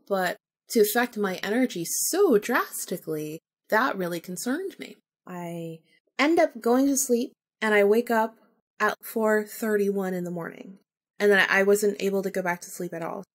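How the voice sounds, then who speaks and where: Female podcast host, medium pitch, conversational personal storytelling with informal, acted-out dialogue. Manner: animated retelling, acting out remembered dialogue, informal with swearing.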